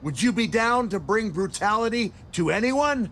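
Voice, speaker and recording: A man's voice: high voice, lively intonation, normal speed, a bit bright, slight background noise. A man playing an eccentric, folksy and menacing old-school hardcore wrestler in a video game cutscene. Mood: goading.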